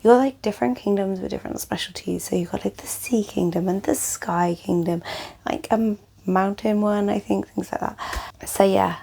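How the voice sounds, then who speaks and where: A young woman chatting casually to camera as a book vlogger, rambling with lots of fillers, her voice a little croaky and tired. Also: mid-range voice, fairly even intonation, moderate pace, mellow.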